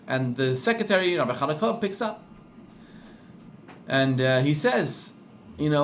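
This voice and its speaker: A middle-aged man with a South African accent tells a story in a sermon, acting out each voice and repeating words for effect. His voice sits a little high and swings widely in pitch. A faint hiss runs under the recording. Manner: animated storyteller, acts out quoted voices, repeats for emphasis. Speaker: rabbi.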